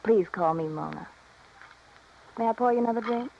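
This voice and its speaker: Coy radio femme fatale, dull recording. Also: female voice, on the high side, moderate pace, vocal fry, slight background noise. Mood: coy, lonely. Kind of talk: 1950s radio drama acting.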